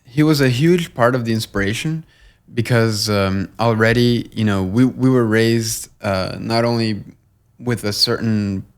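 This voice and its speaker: A young man from Long Island, guest on an interview show, talking in a measured, earnest way with fillers and restarts. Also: fairly low pitch, clear, resonant tone. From Long Island, New York. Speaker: guest, nonprofit co-founder. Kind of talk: conversational interview.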